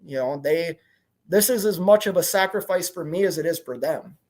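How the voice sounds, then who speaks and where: A man's voice: fairly high pitch, expressive, normal speed, a little mellow. Candid rambling man in his forties. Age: 40s. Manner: rambling, candid, repeats "you know what I mean". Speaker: truck owner-operator streamer. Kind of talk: casual livestream chat reading comments.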